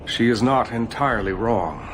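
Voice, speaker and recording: A man playing a weary, cautious leader of mages in a video game drama, speaking in a measured, formal way. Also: lowish voice, lively intonation, a bit bright, faint music behind. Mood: troubled, guarded.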